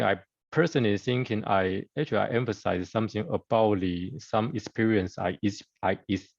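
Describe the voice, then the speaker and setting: Halting man, gravelly low voice. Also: a little mellow, no room sound, highs cut off. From Taiwan. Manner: halting, hesitant with many fillers and broken grammar. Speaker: guest speaker surgeon. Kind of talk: conference presentation over video call. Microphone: video call.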